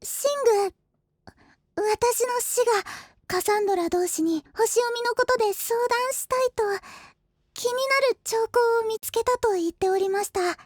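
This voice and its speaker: A very high, childlike girl's voice, acted by a woman, recorded close and dry. She is a polite young apprentice in a fantasy audio drama, deferential and a little hesitant in her formal speech. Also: normal speed, dry recording. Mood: timid, polite. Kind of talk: Japanese audio drama acting.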